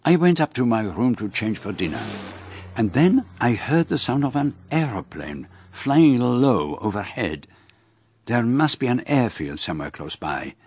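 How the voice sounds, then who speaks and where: An actor playing a courteous, observant foreign gentleman detective in a radio drama, speaking in a precise, formal manner. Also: mid-range voice, very animated, measured pace, slightly rough. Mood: observant, narrating. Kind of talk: radio drama acting and narration.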